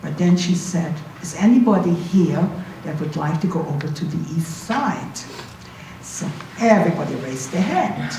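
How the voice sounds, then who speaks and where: Elderly German-accented woman, low voice, echoey room. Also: expressive, normal speed, warm tone. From Berlin, Germany. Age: 80s. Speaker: Holocaust survivor giving a talk. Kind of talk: informal spoken recollection to an audience. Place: indoor university talk room, recorded from a distance. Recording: echoey room, distant mic, faint noise.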